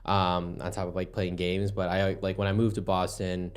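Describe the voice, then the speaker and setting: A man's voice: low voice, completely flat delivery, normal speed, clear, resonant tone. A young American man, a comedian guest, chatting about his life in an easygoing, rambling way. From United States. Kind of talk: casual podcast chat. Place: podcast studio.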